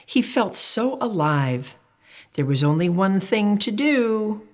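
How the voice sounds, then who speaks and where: Slow, expressive older woman storyteller. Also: mid-range voice. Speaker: children's storyteller. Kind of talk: expressive picture-book read-aloud.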